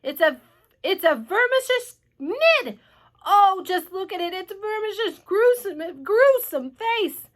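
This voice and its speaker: Storybook police chief, a man in a panic , played by a woman: bright, forceful, barking orders in alarm. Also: medium pitch, a little slow, few pauses. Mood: horrified. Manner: alarmed, exclamatory, commanding.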